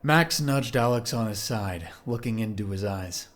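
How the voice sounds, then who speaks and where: A man's voice: fairly low pitch, animated, measured pace. A man narrating an audio drama from a script.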